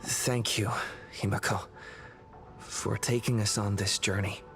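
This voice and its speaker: A man voicing a calm, earnest young protagonist in a video game, speaking in a measured, gentle way. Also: lowish voice, creaky, faint music behind. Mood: grateful.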